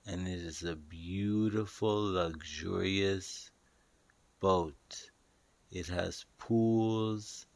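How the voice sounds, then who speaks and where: Man, low-pitched voice, unhurried guided meditation narration, deliberate pauses, dry close sound. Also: no room sound. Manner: deliberate, short pausing phrases, instructing the listener. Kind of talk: guided meditation, slow storytelling.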